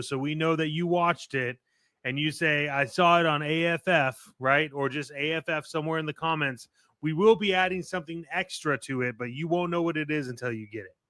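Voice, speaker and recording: Male podcast host, higher-pitched, casual but emphatic promo chat, dry close studio sound. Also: a bit bright, dry recording. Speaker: podcast and radio show host. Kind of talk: casual show sign-off chat to listeners.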